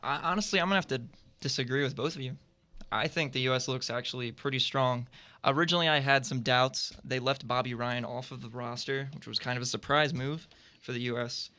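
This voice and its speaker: A man's voice: mid-range voice, fairly quick, bright, forceful tone. A man giving firm opinions and predictions as a panelist on a roundtable sports radio show, recorded in a studio.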